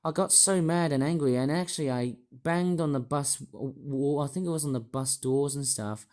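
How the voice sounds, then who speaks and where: A man with a hoarse, croaky voice, rambling hesitantly through a personal vlog monologue with many restarts. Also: fairly high pitch, moderate pace, a little mellow. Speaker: YouTuber.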